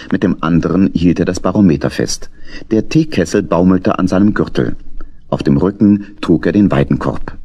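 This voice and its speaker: A man's voice: low voice, a little mellow. A man narrating a children's audio play as a scripted storyteller.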